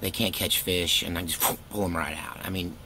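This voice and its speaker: A man's voice: low-pitched, fairly even intonation, moderate pace, creaky, pushed and bright, slight background noise, rough recording. A middle-aged man telling personal stories in a casual, rambling, laid-back way with wry self-deprecation. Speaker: storytelling vlogger, former youth pastor.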